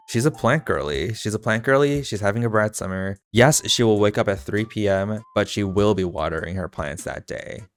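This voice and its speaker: A young man with a deep voice and natural vocal fry, rambling casually and campily as he plays a game. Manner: chatty, campy, self-mocking asides. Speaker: gaming video host. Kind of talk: casual rambling commentary while playing.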